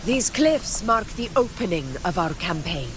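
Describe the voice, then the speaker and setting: A commanding warrior leader. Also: female voice, on the low side. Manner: grand, confident, slow declamation. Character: bold, commanding woman warrior leading a campaign. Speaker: game character, military commander. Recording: game audio with steady noise behind.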